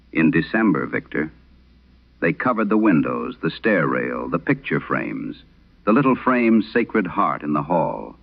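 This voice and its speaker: A man playing an aging, haunted priest, telling a solemn horror radio monologue with measured, brooding, confessional delivery. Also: low-pitched, vocal fry, phone-quality sound, exaggerated voice.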